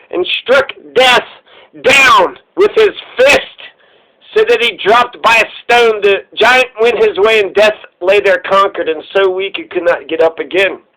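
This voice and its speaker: A man's voice: very high-pitched, sharp tone, poor audio. A man reading a fairy tale aloud in a theatrical, irreverent way, breaking off for sweary casual asides. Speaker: storyteller.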